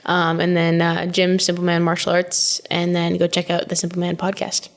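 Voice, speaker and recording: A woman's voice: lowish voice, completely flat delivery, moderate pace. A woman, a podcast guest chatting easily and filling her sentences with "like". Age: 20s. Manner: easygoing, laughs often, filler-heavy. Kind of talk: casual chat.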